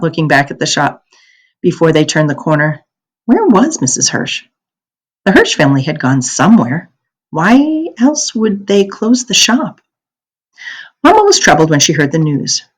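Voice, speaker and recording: A woman reading a children's novel aloud as a storyteller, in an expressive, deliberate manner. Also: deep voice, breathy edge. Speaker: read-aloud narrator.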